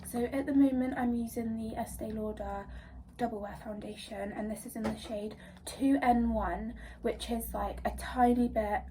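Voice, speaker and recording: A woman's voice: fairly high pitch, fairly even intonation, normal speed, distant mic, background noise. A young woman with a stuffy nose from a cold, chatting casually through a makeup tutorial, rambling with lots of fillers. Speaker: beauty vlogger.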